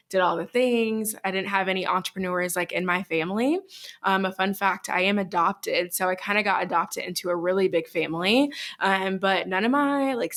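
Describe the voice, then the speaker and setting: A woman's voice: mid-range voice, vocal fry, a bit bright. A young adult woman from Chicago, a business coach guesting on a podcast, chatting in an upbeat, casual way with lots of fillers. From Chicago, USA. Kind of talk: casual interview talk.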